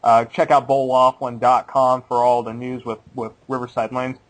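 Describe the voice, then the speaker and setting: A man's voice: mid-range voice, little pitch movement, moderate pace, low-quality recording. A man in his late twenties co-hosting a bowling podcast, chatting casually, laughing often and playfully cynical. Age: late 20s. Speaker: podcast co-host. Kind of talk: casual chat.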